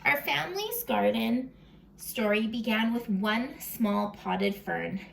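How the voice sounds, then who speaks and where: A woman hosting a read-aloud for young children, expressive and full of questions, sometimes dropping into a whisper, recorded at home. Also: mid-range voice, creaky, slight background noise, rough recording. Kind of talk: animated children's storybook read-aloud with chat to the listeners.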